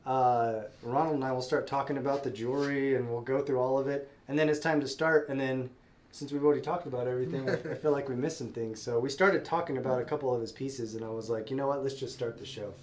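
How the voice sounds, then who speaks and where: A man's voice: medium pitch, steady tone, fast. Stuffed-up man hosting a live sale. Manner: friendly, rambling, many fillers and restarts. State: stuffed up with a cold. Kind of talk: casual live-stream chat.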